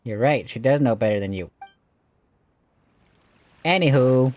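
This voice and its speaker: A woman's voice: rumbling low, animated, measured pace, a little mellow. A woman casually commenting over a video game in a teasing, wry way. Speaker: gameplay commentator.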